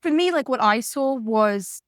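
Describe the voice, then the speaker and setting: A woman's voice: a little high, lively intonation, a little slow, no room sound, clean studio sound. A young adult woman hosting a podcast interview, friendly and chatty with many fillers. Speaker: podcast host. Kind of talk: casual interview chat.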